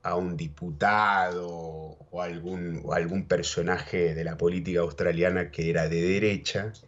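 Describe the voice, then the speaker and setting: A man's voice: low voice, steady tone, a little slow, a bit bright. A man with an Argentine accent, an interview guest telling stories in a rambling way, repeating words and tagging on little questions. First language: Spanish and English, bilingual. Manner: rambling, repeats words, keeps saying ¿viste?. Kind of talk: casual storytelling chat. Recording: dull lo-fi audio.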